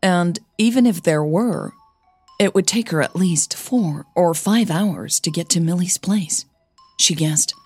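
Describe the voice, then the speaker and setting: Low, slow, husky female storyteller. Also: a little mellow, no room sound, soft background music. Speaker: narrator. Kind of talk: scripted audio fiction narration, with dialogue.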